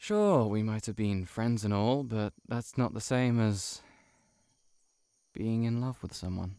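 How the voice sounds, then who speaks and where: A man playing a shy, heartbroken friend in an intimate audio roleplay, confiding haltingly to the listener. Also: fairly low pitch, lively intonation, warm tone, smooth, dry recording, studio quality. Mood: regretful, confessing. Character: shapeshifting monster posing as a shy, heartbroken male friend.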